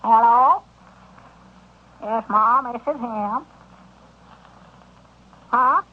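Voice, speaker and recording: Old southern country storekeeper, real high scratchy voice, excitable n stammery, 1930s radio comedy. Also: male voice, extremely slow, heavily acted. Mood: chatty, proud. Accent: rural Southern US. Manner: folksy, hemming and stammering. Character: excitable old country storekeeper turned would-be circus manager. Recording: dull, lo-fi 1930s radio recording.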